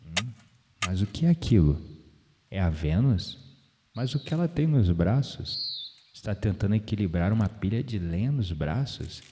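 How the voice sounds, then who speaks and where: An actor with a Brazilian accent playing a calm, playful, spiritually minded man in an audio drama. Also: on the low side, normal speed, noisy background. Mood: amused, curious. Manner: gently playful, reflective, philosophical.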